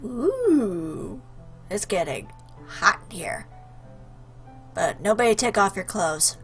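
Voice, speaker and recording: A woman's voice: mid-range voice, big pitch swings, slow pace, faint music behind. A woman hosting a game playthrough, commenting casually and playfully and reading lines aloud. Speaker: gaming video host.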